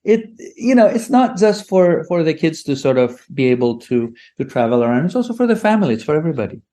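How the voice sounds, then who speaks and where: A man's voice: on the high side, very animated, quick pace, warm tone. A man from Greece, a native Greek speaker, talking casually as a podcast guest, rambling with many restarts. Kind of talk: casual conversation.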